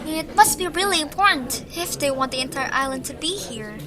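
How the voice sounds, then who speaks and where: A woman's voice: high voice, animated, fairly quick, crowd noise. A woman voicing a curious, grumbly child creature in a cartoon audio story. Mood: curious, grumbling.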